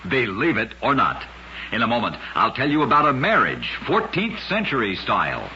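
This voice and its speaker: Dull lo-fi radio recording, male narrator, animated pitch, dramatic announcer delivery, scripted storytelling, faint noise. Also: normal speed.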